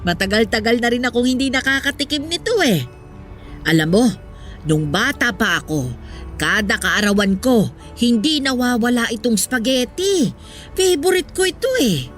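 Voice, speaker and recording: A woman playing an old, poor Filipino mother in a radio drama, wistful and gently wise, speaking in an earnest, reflective way. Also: fairly high pitch, expressive, normal speed, lightly acted. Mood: fond, nostalgic. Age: older. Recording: music behind the voice.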